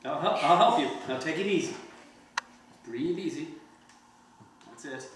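A man's voice: a little high, unhurried, close to an everyday voice. A middle-aged man reading the part of a kindly, encouraging American tourist in his forties in a staged play reading. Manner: casual, reassuring. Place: wood-paneled mansion room. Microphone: distant camera microphone. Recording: very echoey room, distant mic, faint noise.

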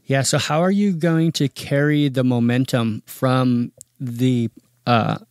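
A man of middle pitch asks relaxed interview questions in loose, casual phrasing with a few fillers. His voice is close and dry, with no room sound. He keeps an easy conversational pace. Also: dry recording. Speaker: podcast interviewer.

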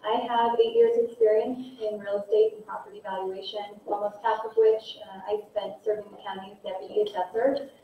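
A woman's voice: mid-range voice, little pitch movement, a little fast, few pauses. A woman in her thirties giving a formal candidate statement into a handheld mic in a large community hall, with frequent ums and uhs. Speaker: county assessor candidate. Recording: echoey hall, distant, phone-like, faint hiss.

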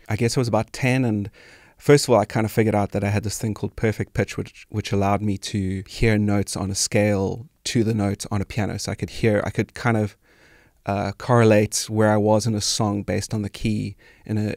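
A man's voice: lowish voice, darkish tone, no echo. A South African man in his forties, a musician guest, chatting casually and reflectively into a close studio microphone. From South Africa, grew up in Cape Town. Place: small indoor podcast studio. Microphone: close studio microphone on arm.

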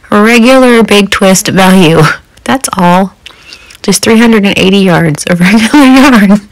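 A woman's voice: mid-range voice, unhurried, poor audio. A middle-aged woman, chatting casually in a folksy, rambling way as a craft vlogger. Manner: folksy, rambling, chuckles often. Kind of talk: casual chat.

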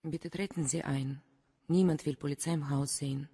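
Guarded, low-voiced immigrant woman. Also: steady tone, normal speed, warm tone, slightly rough. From Romania. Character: guarded, defiant Romanian immigrant woman working as cleaner. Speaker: actor in a crime radio play. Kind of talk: German radio drama acting.

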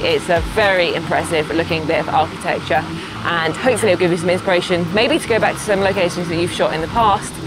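A chatty woman in her thirties speaks to camera in a slightly low voice, upbeat and relaxed. She is outdoors on a lapel mic. Music plays clearly behind her. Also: rough recording. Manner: upbeat, chatty, gestures while talking. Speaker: travel photographer vlogger. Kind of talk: casual to-camera vlog. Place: outdoors by a roadside at dusk. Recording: background music playing.

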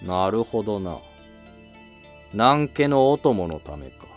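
A man's voice: lowish voice, big pitch swings, slow pace, faint music behind. A man playing a curt, imperious Heian emperor who speaks in terse, commanding period language. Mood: suspicious.

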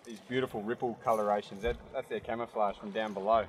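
A man, hosting a fishing show from a small open boat at sea and commentating excitedly, often breaking into shouts and laughter. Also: fairly low pitch, quick pace. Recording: loud wind, water and motor noise.

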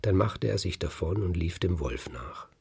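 Low, gravelly, unhurried male storyteller. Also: animated, warm tone. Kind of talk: storytelling, narrated folk tale.

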